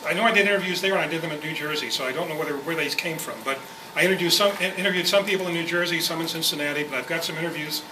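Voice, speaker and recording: A man's voice: on the high side, racing. A man presenting informally to a club meeting in a rambling, conversational way. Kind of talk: informal spoken introduction to a club. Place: indoor club meeting room. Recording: echoey room, far mic, clear noise.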